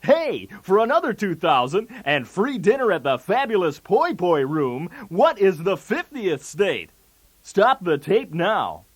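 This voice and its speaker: A man's voice: high-pitched, big pitch swings, a little slow, husky, exaggerated voice. A man playing a brash, wisecracking 1980s TV game show host with showy, punchy patter. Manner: showy, jokey, with punchy delivery of gags.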